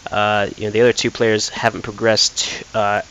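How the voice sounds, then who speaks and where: A man's voice: lowish voice, level tone, normal speed, fairly bright tone, a little hiss or hum, rough recording. A young man casually commenting while playing a football game, thinking aloud with lots of fillers and restarts. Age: young adult. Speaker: gaming commentator.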